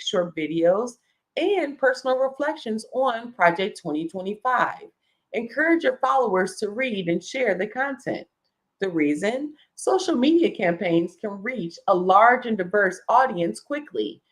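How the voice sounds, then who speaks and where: A woman's voice: medium pitch, rough recording. Woman, middle-aged, live reading host, casual asides, reads list items, corrects herself. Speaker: host reading a document aloud.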